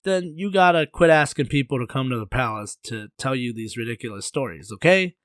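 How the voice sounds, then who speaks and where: A man's voice: medium pitch, animated, a little fast, fairly bright tone, dry recording, clean studio sound, natural voice. A man voicing a sly, laid-back trickster in a folktale, with cool, slangy talk. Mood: sly, insistent.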